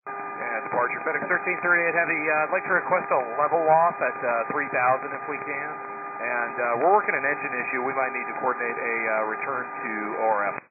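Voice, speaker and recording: A man's voice: mid-range voice, a little fast. A man working as an airline pilot, making clipped, procedural and courteous radio calls over an aircraft radio. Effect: aircraft radio transmission. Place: aircraft cockpit. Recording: very noisy, phone-like aircraft radio.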